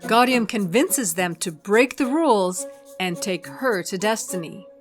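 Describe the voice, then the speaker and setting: Woman, narrator, middle pitch, scripted drama recap, faint music behind. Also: lively intonation, a little slow.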